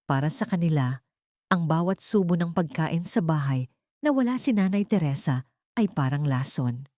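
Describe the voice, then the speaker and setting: A woman's voice: deep voice, expressive, moderate pace, mellow, dry recording, clean studio sound. A woman reading a dramatic scripted story as an audiobook narrator.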